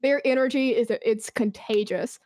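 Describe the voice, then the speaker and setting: A woman's voice: on the high side, steady tone, moderate pace, darkish tone, no room sound, clean studio sound. A woman speaking as a podcast guest in a casual, informal interview, effusive and emphatic about their work as an esports brand manager.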